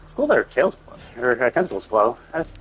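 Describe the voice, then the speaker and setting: A man's voice: mid-range voice, very animated, quick pace, breathy edge, rough recording. A man giving casual, rambling live commentary as a game streamer, in an informal, conversational way. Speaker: livestreamer.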